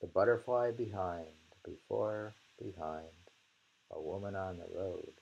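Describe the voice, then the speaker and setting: An older man reads poems and literary commentary aloud, haltingly, pausing to murmur and muse between lines. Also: sits low, vocal fry, a little mellow, breathy edge, dull audio. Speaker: reader of haiku and commentary. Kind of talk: reading poems and literary criticism aloud with informal asides.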